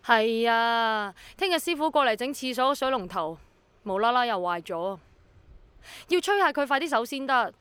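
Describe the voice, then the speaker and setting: A pushy woman selling old household things in a radio drama. Her voice is high, insistent and full of exclamation. It is recorded dry, with no room sound. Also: expressive, normal speed, a bit bright, clear, resonant tone, no room sound. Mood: busy, annoyed. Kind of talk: Cantonese radio drama acting.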